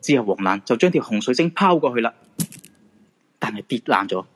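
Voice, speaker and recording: A man's voice: mid-range voice, lively intonation, a little fast, a bit bright. A man with a Hong Kong Cantonese accent narrating a scripted supernatural radio drama.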